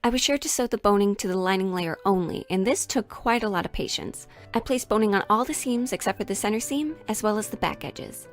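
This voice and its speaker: A woman with a mid-pitched voice hosts a hobby vlog. She speaks casually and candidly, with a self-deprecating streak, moving between chat and narration of her project. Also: a little fast, hardly any pauses, faint music behind. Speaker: sewing vlogger and host.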